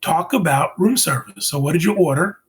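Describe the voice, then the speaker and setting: A man hosting a casual podcast chat, asking follow-up questions with animated reactions. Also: a little high, fairly quick, breathy edge. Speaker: podcast host.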